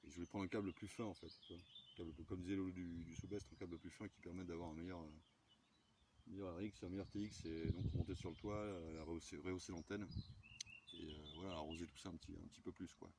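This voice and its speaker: A man's voice: sits low, fairly even intonation, fast talker, background noise. A man chatting in slangy, laid-back fashion as an amateur radio operator, rambling and correcting himself. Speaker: amateur radio operator filming his radio chat. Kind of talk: casual chat, slangy.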